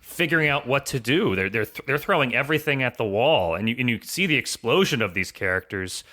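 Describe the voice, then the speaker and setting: A man giving casual analysis as a podcast guest, building his points in halting repetitions. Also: medium pitch, animated, pushed and bright.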